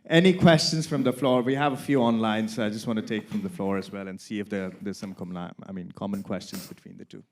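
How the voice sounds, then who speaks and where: A man moderates a conference panel and moves things along briskly. His voice sits somewhat low. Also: fairly even intonation. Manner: brisk, laughs between prompts.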